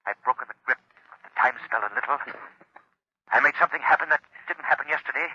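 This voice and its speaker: An invisible avenger in an old radio serial, urgent and commanding. His husky voice comes through a telephone-like filter, dry and muffled. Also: medium pitch, fast talker. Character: commanding, unseen male mystery hero. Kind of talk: 1930s radio acting.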